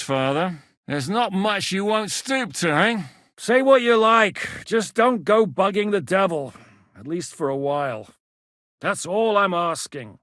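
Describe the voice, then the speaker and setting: An actor playing a gruff, blunt medieval war captain who shouts battle orders. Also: male voice, high-pitched, dry recording, clean studio sound, full character voice. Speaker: military commander. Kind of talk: game voice lines.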